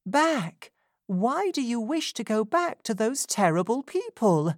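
A woman voicing a kind, gentle young lady who speaks softly in a storybook reading. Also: a little high, big pitch swings, takes it slowly, no echo, clean studio sound, pitched above the performer's own voice, exaggerated voice. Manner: soft and gentle.

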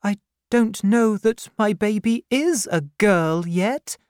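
A woman voicing a frightened, tearful young widowed mother who speaks softly and timidly in a storybook reading. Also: mid-range voice, slow pace, smooth, no echo, clean studio sound, pitched above the performer's own voice, lightly acted. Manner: soft, timid.

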